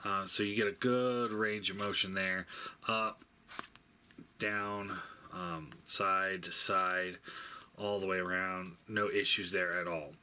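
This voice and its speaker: A man giving a casual, unscripted product review, thinking aloud in a halting way and stating blunt opinions. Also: sits low, steady tone, bright, forceful tone. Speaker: toy reviewer. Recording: faint background noise.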